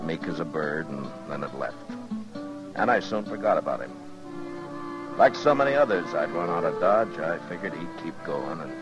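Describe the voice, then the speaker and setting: Laconic, world-weary marshal, low and dry, dull vintage radio western sound. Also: male voice. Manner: terse, dry. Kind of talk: 1950s radio western acting and narration. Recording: dull 1950s radio recording.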